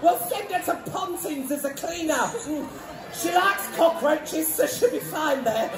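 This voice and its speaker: A performer playing a brash, saucy, bossy mother in live pantomime comedy, loudly playing to the audience. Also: sits high, animated, unhurried. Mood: mischievous. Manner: loud, declamatory, playing to the audience. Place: large holiday resort theatre stage. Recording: echoey theatre, distant, loud music and crowd.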